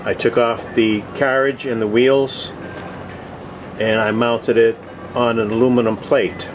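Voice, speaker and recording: A man giving a casual video tour in short, halting, plainspoken phrases, recorded outdoors on a boat deck with a handheld camera. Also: mid-range voice, flat delivery. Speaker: host of a cooking vlog. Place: open deck of a boat on the water. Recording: outdoor ambient noise.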